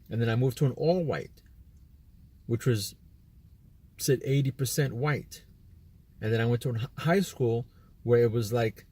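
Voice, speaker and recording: A man's voice: mid-range voice, a little mellow. An American man hosting a video, talking in a loose, rambling monologue and often repeating himself. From United States. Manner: rambling, repeats words, quotes imagined chats. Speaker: video host. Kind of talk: casual monologue to camera.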